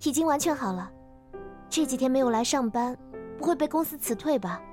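A woman's voice: sits high, expressive, a little fast, darkish tone, soft background music. Hesitant, wistful young office worker played by a woman. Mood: polite, hesitant. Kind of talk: Chinese audio drama acting.

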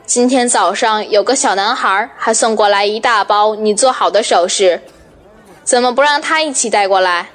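A woman's voice: medium pitch, normal speed, fairly bright tone, some chatter behind, lightly acted. An actress playing a friendly, concerned female shopkeeper in an audio drama. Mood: curious, concerned. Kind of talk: Chinese audio drama acting.

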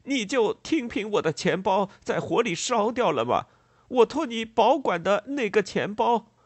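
A man's voice: sits high, big pitch swings, measured pace, husky, no echo, pitched above the performer's own voice, exaggerated voice. A man voicing a frantic, greedy, angry farmer in a storybook, stammering and blustering as he repeats his words. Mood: furious, accusing.

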